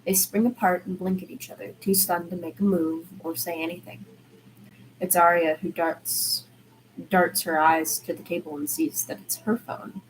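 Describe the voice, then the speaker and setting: A woman's voice: lowish voice, fairly even intonation, normal speed, slight background noise, low-quality recording. A woman reading a story aloud in audiobook style, occasionally stumbling and restarting her phrases. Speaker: fan fiction reader.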